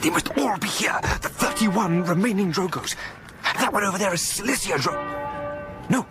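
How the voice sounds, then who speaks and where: An actor playing an anxious, earnest vicar with a hesitant, stammering delivery in a radio horror drama. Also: male voice, sits high, animated, moderate pace. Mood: tense. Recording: radio drama with music underneath.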